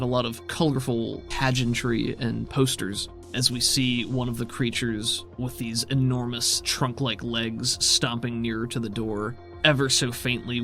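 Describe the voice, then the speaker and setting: A man's voice: medium pitch, a bit bright. A man working as game master, improvising descriptive, conversational narration for a tabletop game.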